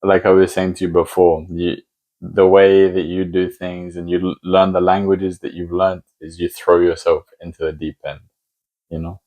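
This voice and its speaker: A man's voice: deep voice, level tone, moderate pace, warm tone, low-quality recording. A man hosting a podcast, asking long, thoughtful hypothetical questions in a casual conversation. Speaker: podcast host.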